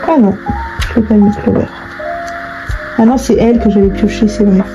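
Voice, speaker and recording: A woman's voice: mid-range voice, moderate pace, over music, rough recording. A young adult woman chatting casually in slangy, informal language, thinking aloud as she crafts. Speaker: online video creator. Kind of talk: casual chat while crafting.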